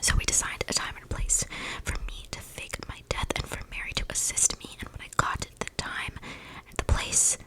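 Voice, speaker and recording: A breathy whisper carries this eccentric young female vampire, played by a woman in an ASMR roleplay. She rambles like a chatty loner, slangy and flippant, with deadpan asides. The microphone is close enough to catch her breaths. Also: moderate pace, lightly acted, rough recording. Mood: chatty, confiding. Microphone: close microphone picking up breaths.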